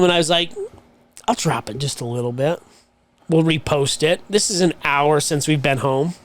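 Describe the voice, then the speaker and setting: A man's voice: a little high, a bit bright. A man telling a long, rambling story on a podcast, swearing casually. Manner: swearing, rambling anecdote with quoted voices. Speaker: podcast co-host.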